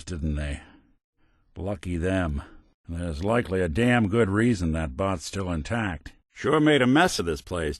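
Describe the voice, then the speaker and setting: Weary robot noir detective for a game, low and dry humored, pitch swings around, super dry studio sound. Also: male voice, no room sound. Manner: dry, sardonic, wry asides. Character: world-weary robot private detective, wry, middle-aged male. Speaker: companion character in a video game.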